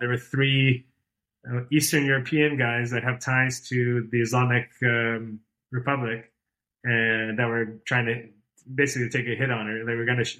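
A man's voice: mid-range voice, bright, forceful tone, low-quality recording. A man in his thirties, a podcast guest talking in a loose, rambling way with many fillers and restarts. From born and raised outside Iran. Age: 30s. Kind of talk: casual conversational interview.

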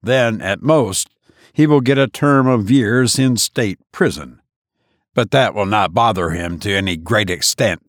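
A man reading an audiobook, voicing a worldly lawyer who speaks in a measured, cynical way. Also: on the low side, no room sound, studio quality. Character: calm, worldly middle-aged male lawyer.

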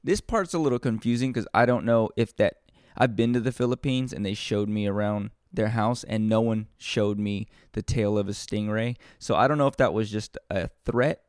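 Man, lowish voice, storybook reading, deadpan comic asides, rambling, close dry sound. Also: moderate pace, smooth, no room sound. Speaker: storybook reader and comedian.

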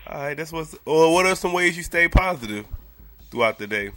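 A man's voice: fairly high pitch, animated, a little fast, bright, forceful tone, no echo. A man hosting a casual interview, laid-back and agreeable, using easy slang. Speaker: interview host.

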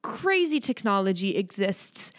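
A woman's voice: mid-range voice, sing-song, a little slow, a bit bright. A young woman from France with a French accent, co-hosting a casual podcast chat, friendly, with frequent laughs and fillers. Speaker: podcast co-host.

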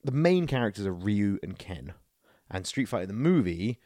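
A man chatting casually as a podcast co-host, animated and jokey, often acting out imagined quotes. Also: on the low side, normal speed, no room sound, studio quality. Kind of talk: casual chat, film and games banter.

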